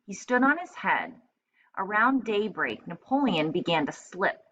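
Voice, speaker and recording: A woman reading aloud as a teacher, narrating a storybook and pausing to explain in simple terms. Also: mid-range voice, sing-song, a bit bright, highs cut off, a little hiss or hum.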